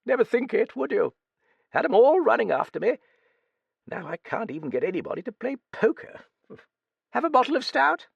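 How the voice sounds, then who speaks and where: A man's voice: very high-pitched, big pitch swings, normal speed, gravelly, long pauses, husky, no room sound, highs cut off, voice pushed up, exaggerated voice. A man reading an audiobook, voicing a blunt, hearty old woman who swears freely and talks with cheeky bluster. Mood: bluff, gossipy. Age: older.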